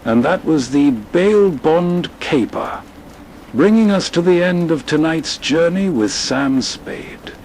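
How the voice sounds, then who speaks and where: Male night host: unhurried scripted narration, slightly raised pitch, faint train ambience. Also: lively intonation, a little mellow, slight background noise, low-quality recording. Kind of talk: slow, atmospheric scripted narration.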